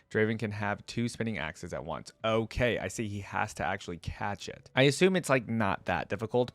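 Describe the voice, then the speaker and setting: A man with a fairly low voice gives casual reaction commentary. He moves between reading aloud and conversational remarks like a host talking to viewers. The sound is dry and close, with little room in it. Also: no room sound.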